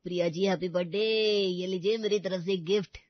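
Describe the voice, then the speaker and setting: A woman's voice: lowish voice, steady tone, a little fast, no echo, dull audio, natural voice. Woman voicing a smug young male rival. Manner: smarmy. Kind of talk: cartoon story acting.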